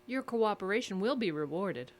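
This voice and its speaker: Female interrogator, played by an actress, audio drama: composed, formal, quietly controlling, coolly insistent. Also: medium pitch, expressive, normal speed, natural voice. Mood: calm, controlling.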